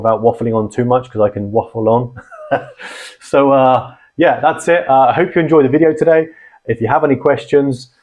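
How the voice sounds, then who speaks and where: A man's voice: mid-range voice, darkish tone. A man hosting a hobby channel, explaining things casually and informally in a rambling way, repeating points for emphasis. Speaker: host of a radio hobby channel. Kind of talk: casual explainer talk to viewers.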